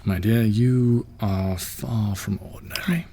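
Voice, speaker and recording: A man playing a gentle middle-aged ruler and father in an audio drama, measured, tender and soft-spoken. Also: fairly low pitch, fairly even intonation, gravelly, full character voice. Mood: tender, reassuring. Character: gentle fatherly ruler, weary, reassuring widower.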